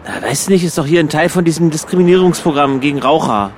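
A man's voice: fairly high pitch, lively intonation, quick pace, a little hiss or hum. A man in casual conversation who tends to explain things in full, wordy sentences. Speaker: radio show participant.

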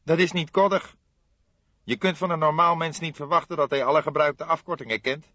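A man's voice: a little high, steady tone, a little fast, pushed and bright, dry recording, highs cut off, voice pushed up. A man voicing an earnest young police detective sidekick in an audiobook, questioning and easily indignant in manner. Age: young adult. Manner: questioning, reproachful, eager. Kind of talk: audiobook character acting.

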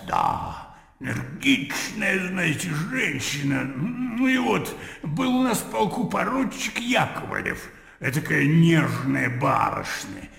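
Garrulous old army colonel, sardonic and very gravelly, swinging widely in pitch, radio play. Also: male voice, fairly bright tone, full character voice. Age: older. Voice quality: gravelly, booming. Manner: blustery, rambling, punctuated with interjections. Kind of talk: theatrical radio drama acting. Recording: echoey hall with long reverb, music behind.

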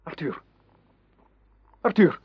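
An actor playing a loyal, deferential knight in a radio drama, with a husky voice. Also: male voice, very slow. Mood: puzzled. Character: loyal knight, deferential companion of his king. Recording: dull, dry radio play recording.

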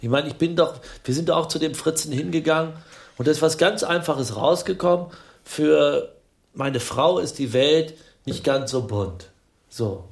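An actor playing a plain-minded, defensive husband in an improvised radio comedy, talking in halting, colloquial bursts. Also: male voice, on the high side, creaky. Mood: halting, muddled. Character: plain-minded husband who finds life simple, defensive.

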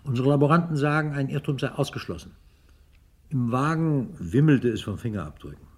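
A man's voice: mid-range voice, expressive, a little fast, slightly rough. An actor playing a stern, insistent police detective in a German radio crime drama, arguing his case with emphasis. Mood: matter-of-fact, pressing.

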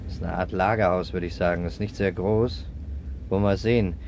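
Brusque, fast-talking male police inspector. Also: deep voice, steady tone, a little mellow. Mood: focused, instructing. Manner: brisk, commanding, matter-of-fact. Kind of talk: 1960s German radio crime drama acting. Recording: faintly noisy 1960s radio drama recording.

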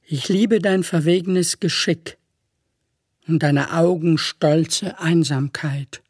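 A woman reciting lyrical poetry with measured, solemn phrasing. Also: low voice, darkish tone, slightly rough, no room sound. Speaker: poetry reader. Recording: dry studio recording over music. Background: music.

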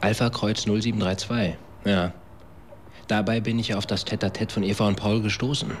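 A man's voice: lowish voice, little pitch movement, normal speed, a little hiss or hum, close to an everyday voice. An actor playing a brusque, cynical tech company man in a German radio drama, curt and sardonic. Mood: sardonic, defensive. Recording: music behind the voice.

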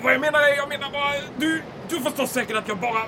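A man's voice: very high-pitched, background noise. A man playing a pompous, fawning military commandant in a comic audio drama, easily flustered and ingratiating. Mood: flustered, pleading. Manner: pompous, ingratiating, peppers talk with German words.

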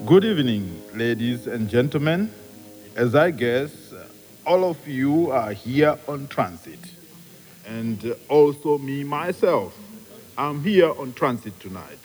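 A man's voice: mid-range voice, expressive, slow, a little mellow, faint music behind. A man acting in a radio play as a solemn, grandiloquent speaker ceremoniously addressing travellers. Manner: ceremonious, oratorical. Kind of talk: theatrical speech in a radio play. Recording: long hall reverb.

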